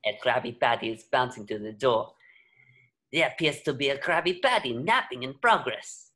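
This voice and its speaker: Mid-pitched man reading stage directions. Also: animated, normal speed, sharp tone. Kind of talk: scripted narration.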